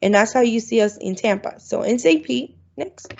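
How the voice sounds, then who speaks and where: A woman's voice: fairly high pitch, lively intonation, moderate pace, creaky. A woman who works as an international student advisor, presenting at an online orientation in a chatty way with lots of ums. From Florida, United States. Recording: dull, lo-fi video call audio.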